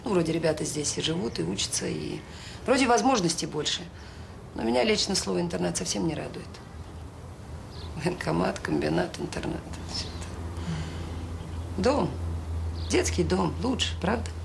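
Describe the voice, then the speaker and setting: An actress playing a warm, determined director of a children's home in a radio drama, brisk and plain-spoken with hesitant pauses. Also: female voice, lowish voice, animated, creaky. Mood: friendly, frank. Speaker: orphanage director. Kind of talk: naturalistic radio drama acting. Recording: noisy old radio play recording.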